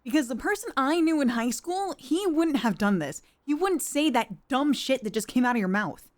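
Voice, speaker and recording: A woman performs a distressed young woman in an audio roleplay drama, cornered by her boss. Her voice sits fairly high and she speaks slowly. The delivery is halting and full of sighs, emotionally wounded. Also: lively intonation, darkish tone, heavily acted. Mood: indignant disbelief. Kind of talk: dramatic ASMR roleplay monologue.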